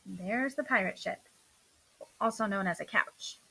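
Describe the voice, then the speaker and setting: A woman reading a children's story aloud in an expressive, deliberate storytelling manner. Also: medium pitch, creaky, breathy edge, poor audio. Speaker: storybook reader. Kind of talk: scripted children's story narration read aloud.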